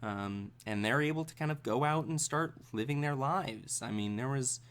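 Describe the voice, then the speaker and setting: A man working as game master, improvising the narration of a tabletop role-playing game in a halting, thinking-aloud way. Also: mid-range voice, a bit bright.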